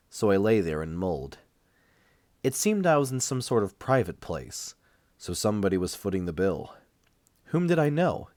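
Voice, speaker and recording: Male narrator for first-person fiction, low register, measured and dramatic, slightly under a cold, dry sound. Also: a little mellow, no room sound. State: recovering from a cold. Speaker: amateur audiobook reader.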